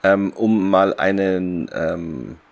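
A man with a lowish voice is hosting a casual podcast. He speaks off the cuff, with hesitations as he presents. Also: extremely slow, creaky. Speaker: podcast host. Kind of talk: casual unscripted podcast chat.

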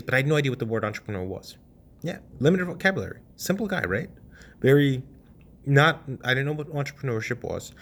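A young man in his twenties, hosting a podcast and telling a personal story in a casual, animated way, cursing freely and dropping at times to a hush. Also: medium pitch, breathy edge. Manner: animated, swears casually, swings from loud to hushed. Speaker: podcast host.

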